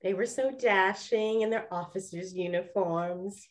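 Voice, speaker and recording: A woman's voice: mid-range voice, measured pace, close to an everyday voice. A woman playing a chatty, wistful lady detective of the 1920s in a radio-style play.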